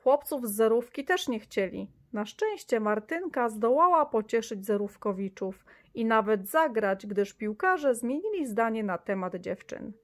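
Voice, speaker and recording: A woman reading a children's story aloud, lively, with the children's lines in brighter, higher voices. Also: a little slow, few pauses.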